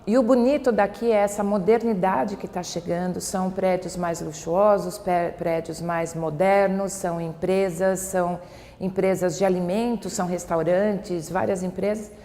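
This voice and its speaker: Low-voiced São Paulo woman, very echoey. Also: fairly even intonation, moderate pace, mellow. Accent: São Paulo Brazilian Portuguese. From São Paulo, Brazil. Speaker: local resident interviewee. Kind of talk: documentary interview, conversational. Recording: long reverberant hall, faint background noise.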